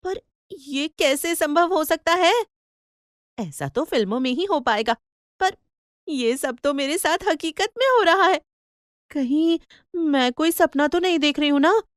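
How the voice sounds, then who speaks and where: A woman voicing an excitable, hardworking mother in an animated story, with exclamatory, wondering delivery. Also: high-pitched, a little slow, dry recording, clean studio sound. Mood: amazed. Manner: exclamatory, wondering, mildly scolding. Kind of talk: animated Hindi storybook acting.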